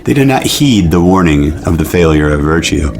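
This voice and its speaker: Male narrator, very low and animated, grandiose mock-documentary read over music. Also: moderate pace, vocal fry, a little hiss or hum. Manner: grandiose, wordy.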